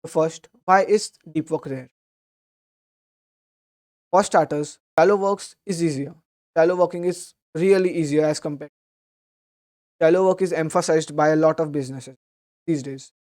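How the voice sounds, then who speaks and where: Slightly hoarse young man, mellow voice. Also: on the high side, normal speed, pauses often. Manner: steady, earnest explaining with small restarts. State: slightly hoarse from a sore throat. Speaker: book summary host. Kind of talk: explanatory book summary talk.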